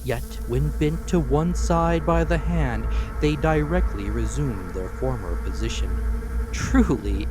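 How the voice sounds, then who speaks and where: A man's voice: medium pitch, noisy background. A man narrating a classic story as a dramatic reading, with theatrical, emphatic phrasing. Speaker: audiobook narrator.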